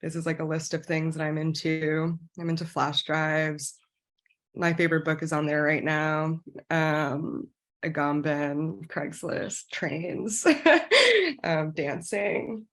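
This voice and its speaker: A woman's voice: low-pitched, slow pace, a bit bright. A woman researcher and artist giving a casual, hesitant project introduction on a video call, with many fillers.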